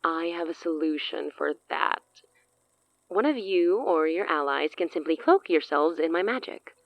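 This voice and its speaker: An actress playing a cunning, self-assured sorceress in an audio drama, speaking in a theatrical, silky, condescending way. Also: female voice, sits low, lively intonation, unhurried, no room sound, muffled recording. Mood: poised, persuasive. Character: cunning, self-assured woman sorceress offering a dangerous pact.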